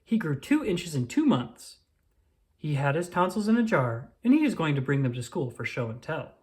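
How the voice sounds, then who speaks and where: An expressive reader narrates a children's storybook at a middling pitch. The intonation is very animated, and key phrases are drawn out deliberately. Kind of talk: storybook read-aloud, first-person child narrator.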